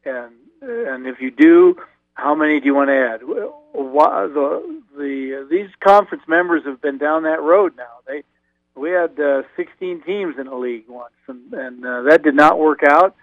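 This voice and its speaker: A man's voice: lively intonation, dry recording. Man on a tinny phone line. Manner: halting, restarts often, low-key. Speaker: radio guest on college football. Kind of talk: casual radio interview over the phone.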